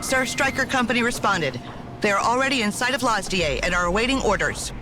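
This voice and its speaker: A woman's voice: a little high, lively intonation, a little fast, background noise, close to an everyday voice. A woman playing a dutiful army major in a video game, giving efficient, formal military reports. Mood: businesslike. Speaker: army major, aide to a general. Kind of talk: dramatic video game voice acting. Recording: loud music behind the voice.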